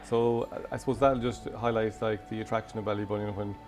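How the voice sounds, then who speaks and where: Fast, flat-voiced Irish man, golf club rep, proud interview answers. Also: fairly low pitch, faint music behind.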